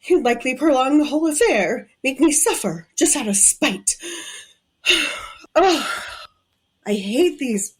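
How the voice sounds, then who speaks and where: A woman's voice: sits high, animated, measured pace, pauses often, husky, full character voice. A woman acting as a haughty, gloating villainess in an audio drama, taunting and imperious. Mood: haughty, irritated. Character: haughty, gloating female villain, cruel body-borrowing hotel owner.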